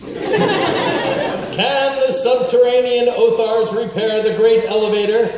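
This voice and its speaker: Hammy, very high-pitched male announcer. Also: slow, crowd noise. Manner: hammy, exclamatory. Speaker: host and announcer. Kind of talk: theatrical live radio serial announcing. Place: convention hall with audience. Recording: very echoey noisy convention hall, far off.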